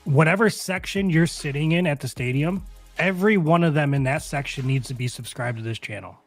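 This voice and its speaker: A man's voice: fairly high pitch, fairly quick, soft background music. A man co-hosting a casual fan podcast, riffing with jokes he makes up on the spot. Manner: jokey, riffs with made-up bits. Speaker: podcast co-host. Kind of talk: casual live podcast chat.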